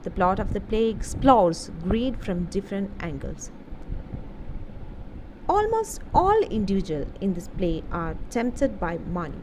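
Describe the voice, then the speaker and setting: A woman delivers scripted educational narration at a slow pace, breaking it into short, deliberate phrases. She explains like a literature teacher. The recording has clear background noise running under her voice. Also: mid-range voice.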